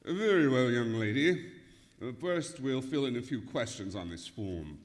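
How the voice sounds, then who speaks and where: An actor playing a polite, fussy, flustered department store manager in an old-time radio comedy. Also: male voice, medium pitch, lively intonation, unhurried, natural voice. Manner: hesitant, throat-clearing, patronizing politeness. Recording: echoey hall, live stage performance.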